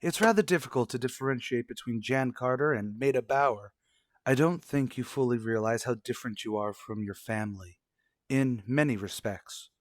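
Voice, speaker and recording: Cold, condescending husband for a daytime radio soap, male actor. Formal, measured diction, medium pitch, dry sound. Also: no room sound, close to an everyday voice. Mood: sardonic, reproachful. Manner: formal, measured, pointed rhetorical questions. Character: cold, accusing husband and father, sardonic and condescending.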